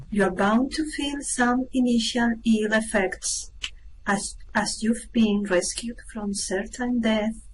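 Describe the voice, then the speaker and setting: A woman plays a calm starship officer in an amateur radio play. Her voice is slightly high and slow, measured and reassuring, with faint noise behind it. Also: poor audio. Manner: measured, formal.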